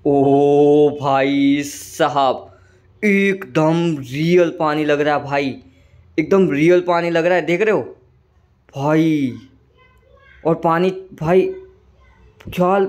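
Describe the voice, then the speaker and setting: A man with a slightly hoarse throat giving casual, chatty commentary over a train simulator game, often calling viewers "brother". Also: on the high side, slow, pauses often, darkish tone. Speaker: gaming channel host.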